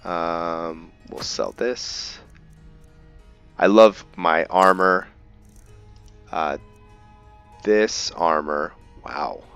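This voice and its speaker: A man narrating a roleplay game playthrough in a casual, halting way, stumbling over words, on a dull mic. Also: low voice, a bit bright, clear, resonant tone, no echo, soft background music. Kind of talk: casual gameplay commentary mixed with in-character journal reading.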